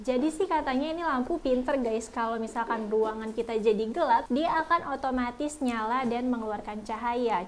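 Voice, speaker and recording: High-pitched young woman reviewing products. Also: slight background noise. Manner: chatty, informal, addresses viewers often. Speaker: product review video host. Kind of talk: casual unboxing and product review chat.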